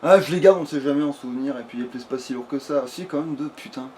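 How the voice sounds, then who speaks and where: A man's voice: medium pitch, fast talker, darkish tone. A man giving casual, improvised video game commentary in a crude, swear-heavy way. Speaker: let's player. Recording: clearly noisy background.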